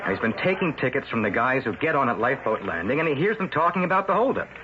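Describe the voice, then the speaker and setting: An actor playing a pushy young reporter. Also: male voice, mid-range voice, big pitch swings, fast, lightly acted. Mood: insistent. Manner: pushy, eager questioning. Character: nosy young male newspaper reporter, persistent. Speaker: newspaper reporter. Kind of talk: 1970s radio drama acting. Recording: lo-fi old radio broadcast, hiss.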